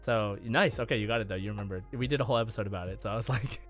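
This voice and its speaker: A man's voice: fairly low pitch, racing, faint music behind. A man co-hosting a podcast, reading out quiz questions and chatting casually between them. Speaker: podcast co-host running a quiz. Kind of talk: casual quiz-show banter with read-out questions.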